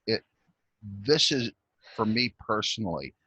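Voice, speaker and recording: A man's voice: lowish voice, very animated, unhurried, bright, forceful tone, husky, no echo. A man from the Southern United States with a Southern accent, hosting a casual interview chat. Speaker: interview host.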